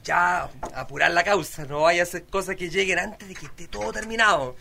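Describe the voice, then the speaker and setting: An actor playing a bossy, teasing grown-up brother in a radio drama. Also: male voice, sits high, brisk, gravelly, bright, forceful tone, slight background noise, full character voice. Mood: impatient.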